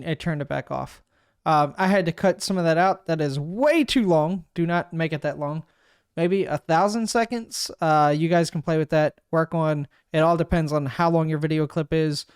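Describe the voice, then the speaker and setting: Smooth, dry-voiced man explaining software. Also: a little high, normal speed, dry recording. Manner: relaxed, thinks aloud while clicking through steps. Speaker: streamer giving a software tutorial. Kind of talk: casual screen-recorded walkthrough. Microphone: desk microphone.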